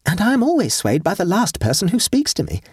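A mischievous sixteen-year-old girl, as a male reader puts her on, airy and teasing, lifted far above his own register. Her husky voice swoops with lively, sing-song pitch. The audiobook sound is dry and close. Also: quick pace, no echo, exaggerated voice.

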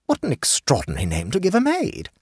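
A fussy, old-fashioned society hostess flutters and placates, as a man reading a storybook puts her on. He lifts the voice very high, and it swoops with a very lively melody. The tone is husky and dry. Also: fast talker, no echo, full character voice. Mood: placating. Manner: flustered, anxious to please. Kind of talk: audiobook character voice.

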